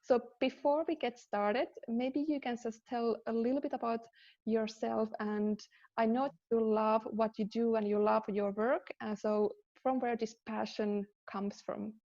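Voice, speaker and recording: A woman's voice: a little high, fairly even intonation, measured pace, dark tone, muffled recording. A woman from Finland, hosting a live podcast interview in an upbeat way, with fillers and restarts. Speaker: podcast host.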